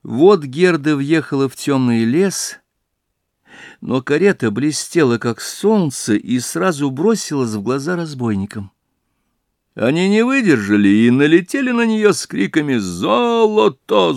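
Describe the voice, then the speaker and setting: A male stage actor reading a fairy tale aloud as an audiobook, in an expressive, theatrical storytelling manner. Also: fairly high pitch, unhurried, no room sound, clean studio sound. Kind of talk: scripted fairy-tale narration.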